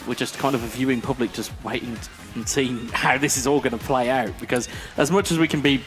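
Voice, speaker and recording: A man's voice: medium pitch, fairly even intonation, fairly quick, music in the background. A man doing co-commentary on an esports football event, talking things through with lots of fillers. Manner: thoughtful, with many fillers like "kind of". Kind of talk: analytical co-commentary.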